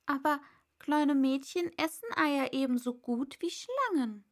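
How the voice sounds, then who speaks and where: A woman's voice: sits high, animated, unhurried, voice pushed up. A woman voicing an earnest, polite little girl in a storybook, protesting with some indignation. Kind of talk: storybook character voice.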